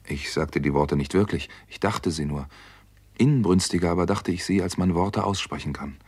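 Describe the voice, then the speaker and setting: A driven, earnest doctor in a 1960s radio thriller. His voice is very low and husky, and he tells his story with grave seriousness. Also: normal speed, darkish tone. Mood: hushed, intense. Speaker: actor narrating and playing a doctor. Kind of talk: 1960s German radio crime drama acting.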